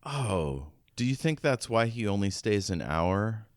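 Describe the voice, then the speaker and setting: A man's voice: lowish voice, sing-song, measured pace, no room sound, clean studio sound. A man co-hosting a podcast, casually discussing a book in an informal, musing way. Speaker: podcast co-host.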